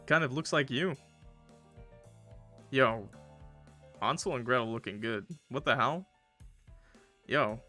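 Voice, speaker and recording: A man's voice: medium pitch, takes it slowly, pauses often, sharp tone, soft background music. A man streaming a game with casual, jokey, slangy commentary. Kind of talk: casual commentary mixed with read-aloud narration.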